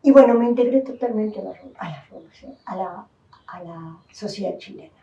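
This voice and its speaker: A woman's voice: mid-range voice, fairly quick, dark tone, muffled recording. Older Uruguayan woman, distant roomy sound. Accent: Uruguayan Spanish. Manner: thoughtful, with many restarts and fillers. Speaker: interviewee. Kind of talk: reflective personal testimony.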